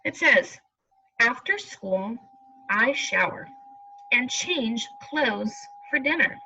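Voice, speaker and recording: A woman teacher leads a read-aloud lesson in a bright, forward voice that sits a little high. She goes slowly and reassures her pupils, often checking in with a question. The recording is a little dull. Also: a little hiss or hum. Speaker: elementary school teacher.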